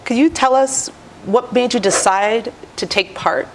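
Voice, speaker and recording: A woman's voice: fairly high pitch, unhurried, a little hiss or hum. An American woman hosting an interview with prepared questions and introductions. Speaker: host, interviewer.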